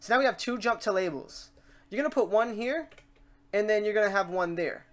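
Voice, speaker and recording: A tutorial host with an androgynous, mid-pitched voice walks through software steps in an informal way. The delivery is conversational and unscripted, with the speaker often talking through each step to themselves. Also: lively intonation, fairly quick. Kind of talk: casual software tutorial, thinking aloud.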